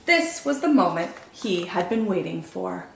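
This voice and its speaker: A woman hosting storytime, reading picture books in an expressive, animated way for young children. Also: lowish voice, a little slow, gravelly, reverberant, slight background noise. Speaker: children's storytime host and reader. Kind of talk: expressive picture-book narration.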